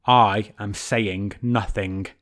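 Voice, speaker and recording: A man voicing a stern, proud lion king who speaks in a measured, commanding way. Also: on the low side, fairly even intonation, fairly bright tone. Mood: calm, reserved.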